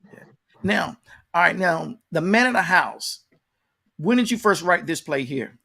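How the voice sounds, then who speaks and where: A man's voice: sits high, animated, a little slow, creaky, sharp tone. An American man hosting a casual, conversational interview in a folksy way, often repeating his phrases. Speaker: interview host.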